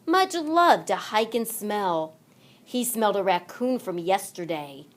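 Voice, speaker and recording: Slow, lively woman reading a children's story. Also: medium pitch. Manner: deliberate, animated delivery for children. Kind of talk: expressive read-along story narration.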